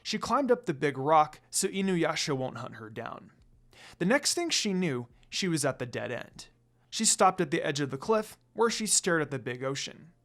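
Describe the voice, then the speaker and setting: A narrator reading a fan fiction story aloud in a dramatic storytelling style. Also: medium pitch.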